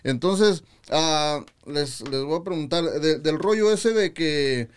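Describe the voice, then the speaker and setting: A man's voice: fairly high pitch, slow pace, clear, resonant tone. A man with a Mexican Spanish accent hosting a casual interview in colloquial, slangy speech, often echoing what guests say. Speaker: podcast host, interviewer.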